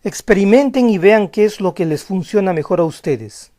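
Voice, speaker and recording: A young man giving a scripted language lesson as a voice-over, calm and explanatory, reading example phrases slowly. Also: high-pitched. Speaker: language teacher.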